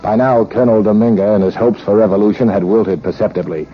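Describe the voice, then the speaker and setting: An actor playing a cynical, money-hungry American gunrunner in 1940s radio drama, wry and wisecracking, with hard-boiled narration. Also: male voice, fairly low pitch, fairly even intonation, fast, lightly acted. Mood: dry, determined. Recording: muffled, noisy 1940s radio recording.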